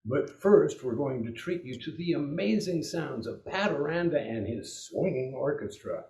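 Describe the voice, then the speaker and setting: Male radio show host, highish lively voice, theatrical 1940s announcing, echoey room. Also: normal speed, vocal fry. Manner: theatrical, showmanlike. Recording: echoing room.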